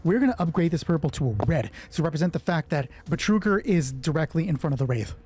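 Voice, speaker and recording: A man with a husky voice narrates a solo tabletop game casually, thinking aloud and swearing freely as he talks himself through rulings. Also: on the high side, animated, quick pace, darkish tone, slight background noise. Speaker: solo roleplay player and narrator.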